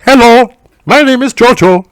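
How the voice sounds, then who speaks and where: A prim, over-precise caller voiced by a man explains himself at length in a high voice. The tone is smooth and resonant. The sound is dry and close. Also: moderate pace, fairly bright tone, no room sound. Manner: pedantic, wordy, over-precise. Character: pedantic wordy male caller.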